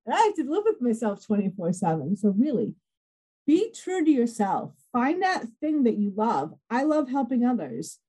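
A woman hosts a podcast and chats in a bubbly, self-deprecating way. Her voice sits a little high, and she laughs easily as she talks. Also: very animated, normal speed, mellow, slightly rough, rough recording. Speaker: podcast host. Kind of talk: casual interview chat.

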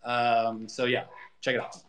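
Bright-voiced man explaining casually. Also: medium pitch, monotone, a little slow, clear, resonant tone. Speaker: guest, co-creator of an audio drama. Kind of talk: casual promotional interview talk.

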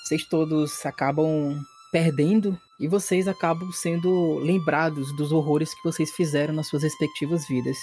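A man's voice: on the high side, steady tone, normal speed, hardly any pauses, music in the background. A man narrating a horror tabletop game dramatically as game master.

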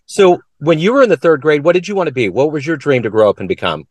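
A man's voice: a little high, animated, fast talker, no echo. A man hosting an interview, asking curious, friendly questions and lifting his voice on each question. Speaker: interviewer and show host. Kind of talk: conversational interview.

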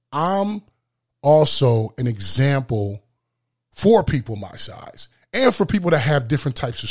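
A man hosting a solo motivational podcast with a booming voice, speaking emphatically and repeating phrases for effect. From lives in Florida. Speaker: podcast host.

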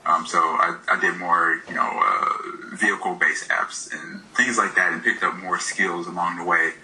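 A man's voice: deep voice, steady tone, normal speed, sharp tone, low-quality recording. A man in his thirties from Michigan, an indie game developer, talking casually in an interview, hesitant with many fillers and laughs, his voice slightly worn.

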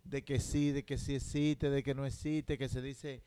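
A man with a Dominican Spanish accent in casual podcast banter, colloquial and quick to argue as a co-host. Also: mid-range voice, flat delivery, dark tone, clean tone, no echo. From Dominican Republic. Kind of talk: casual chat.

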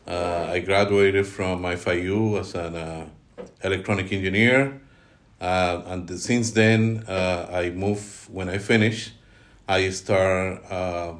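A middle-aged man from Colombia with a Colombian Spanish accent, a podcast guest telling his story in halting speech with many fillers and restarts. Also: low-pitched, a little hiss or hum. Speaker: guest, team leader. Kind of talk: podcast interview.

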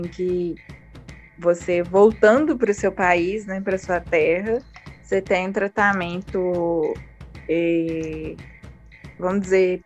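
A woman with a Brazilian Portuguese accent, hosting a conversational interview in an informal, reflective manner. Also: on the low side. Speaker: interviewer, host.

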